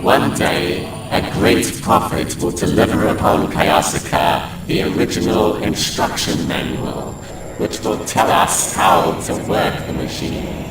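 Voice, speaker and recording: Deep gravelly alien believer, very slow, hushed and reverent, echoey with loud noise behind. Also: male voice, expressive, exaggerated voice. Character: solemn male alien zealot, true believer.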